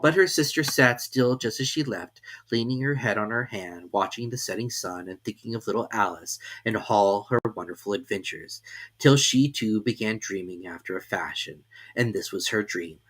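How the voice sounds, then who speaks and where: A man giving an expressive, measured dramatic reading of a classic tale. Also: on the low side. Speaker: storybook reader.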